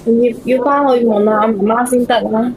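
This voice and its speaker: A woman with a Hong Kong Cantonese accent calling an advice show, speaking in a hesitant, halting way. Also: a little high, steady tone, a little hiss or hum, poor audio. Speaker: caller seeking advice. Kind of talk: unscripted phone-in chat.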